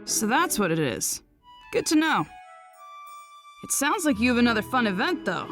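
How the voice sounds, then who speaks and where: A woman's voice: on the high side, big pitch swings, moderate pace, a bit bright, faint music behind. A woman voicing an upbeat, boyish young son in an English anime game dub. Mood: casual.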